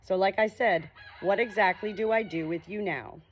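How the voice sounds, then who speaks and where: A woman's voice: mid-range voice, dull audio, a little hiss or hum, natural voice. A woman voicing a creepy, smug male ghost in an audiobook, condescending and theatrical, drawing out his words. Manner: condescending, drawn-out, dramatic delivery.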